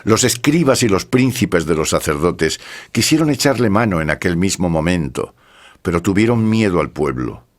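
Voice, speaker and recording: A man giving a scripted narration of scripture in a solemn, measured way. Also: sits low, lively intonation.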